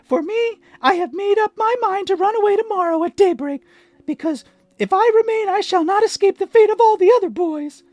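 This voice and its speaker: A man voicing a cheeky, defiant wooden puppet boy in a high, strained voice. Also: brisk, a little mellow, no echo.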